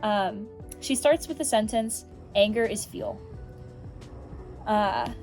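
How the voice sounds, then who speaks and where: A young woman vlogging about a book chapter in a casual, rambling, animated way, with a swear word here and there. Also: mid-range voice, slow, faint music behind. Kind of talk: casual chat.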